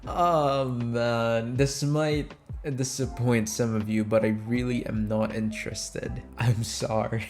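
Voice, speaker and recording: A man's voice: medium pitch, little pitch movement, slow pace, darkish tone. A young adult man chats casually and informally as an audio creator answering fan questions, close on the microphone. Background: music.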